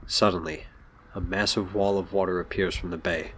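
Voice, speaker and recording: A man's voice: low voice, steady tone, moderate pace, a bit bright, slight background noise. A man reading scripted story narration for an audio drama. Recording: music behind.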